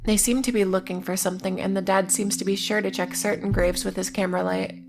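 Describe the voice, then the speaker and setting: A woman's voice: mid-range voice, little pitch movement, fast, vocal fry. A woman narrating a scripted video essay in an informal way, with casual, wry asides amid plain recapping. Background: music.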